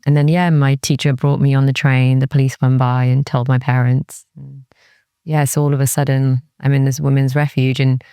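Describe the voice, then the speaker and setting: A woman's voice: rumbling low, flat delivery, moderate pace, dark tone, clear, resonant tone, no room sound, clean studio sound. A woman from Cardiff, Wales, speaking as an interview guest in a candid, serious, reflective conversational way, often quoting her own thoughts. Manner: candid, serious, peppered with "like" and quoted inner thoughts. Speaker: interview guest, music industry executive.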